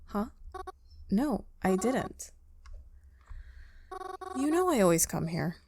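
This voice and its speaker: A woman's voice: medium pitch, very animated, slow pace, noisy background. A woman voicing an awkward, apologetic girl in a visual novel, speaking hesitantly. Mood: defensive, puzzled. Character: awkward, apologetic young girl who loves cemeteries. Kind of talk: visual novel character read-aloud.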